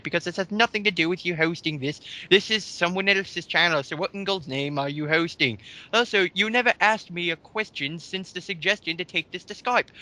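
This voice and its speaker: A man's voice: high voice, sharp tone, low-quality recording. A man co-hosting a casual commentary show, sarcastic and sweary as he chats and mockingly reads things out. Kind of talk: casual chat and mocking read-aloud of online comments.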